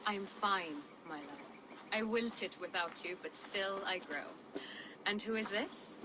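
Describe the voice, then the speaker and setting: A woman's voice: mid-range voice, slow, background noise, natural voice. An actress playing a fierce, loving young Saxon noblewoman in a game cutscene, poised and resolute. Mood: warm, playful. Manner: poised, affectionate but resolute. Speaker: video game supporting character. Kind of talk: dramatic game cutscene acting.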